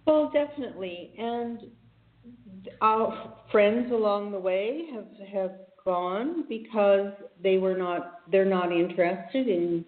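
A woman's voice: medium pitch, slow. A woman speaking as a guest healer in a casual interview, telling her story earnestly and reflectively, with restarts. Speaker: podcast guest, spiritual healer. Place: home in a village, remote call. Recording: echoey, phone-like remote line.

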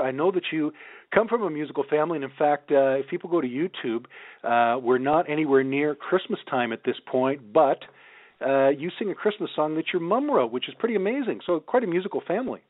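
A man's voice: fairly high pitch, expressive, a little fast. A man hosting a radio show and interviewing a guest. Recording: thin phone-like band, roomy echo.

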